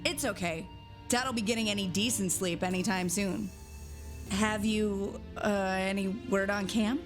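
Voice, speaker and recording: An actress playing a loyal, blunt female captain in a sci-fi motion comic, brisk and no-nonsense. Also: mid-range voice, steady tone, lightly acted. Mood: worried. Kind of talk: animated motion-comic drama acting. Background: music.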